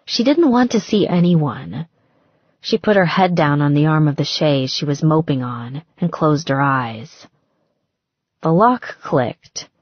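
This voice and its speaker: Low, husky woman narrating romance fiction. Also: expressive, a little slow, no echo, highs cut off. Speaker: audiobook narrator.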